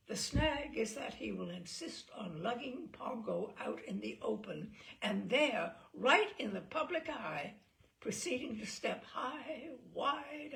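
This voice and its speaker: A woman storyteller narrating a humorous short story in an arch, theatrical, expressive way. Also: a little high, gravelly. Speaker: story reader.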